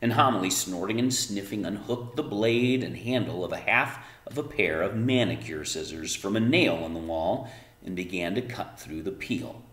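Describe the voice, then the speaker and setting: A man reading a storybook aloud as narrator, with expressive, emphatic phrasing and casual explanatory asides. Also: sits low, moderate pace, echoey room.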